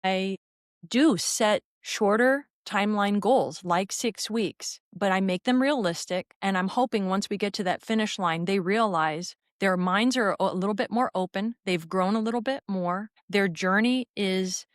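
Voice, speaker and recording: A woman hosting a fitness podcast, talking in an energetic, rambling, emphatic way. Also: medium pitch, unhurried, no echo, clean studio sound. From raised in a military family. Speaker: podcast host and fitness trainer. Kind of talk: conversational interview.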